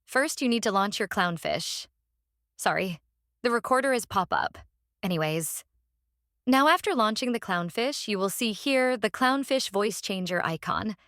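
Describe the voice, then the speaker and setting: A woman who speaks English as a second language hosts a step-by-step software tutorial in a halting, repetitive instructional way. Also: mid-range voice, expressive, no echo, clean studio sound. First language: non-native English speaker. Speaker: tutorial host.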